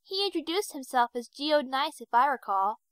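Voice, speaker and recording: An actress playing a polite, sincere young pony shopkeeper who speaks earnestly in an audio drama. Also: female voice, high voice, lively intonation, normal speed, no room sound. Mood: helpful, recalling.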